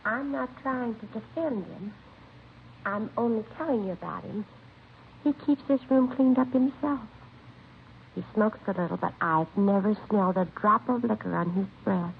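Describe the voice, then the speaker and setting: A protective middle-aged aunt played by a woman in an old radio crime drama. Her voice has a creaky edge and an anxious, doting earnestness. The sound is narrow and phone-like, with faint noise. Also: mid-range voice, moderate pace, close to an everyday voice. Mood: doting, proud. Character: protective, trusting aunt of a wayward young man. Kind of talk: vintage radio drama acting. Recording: lo-fi vintage radio recording, faint hiss.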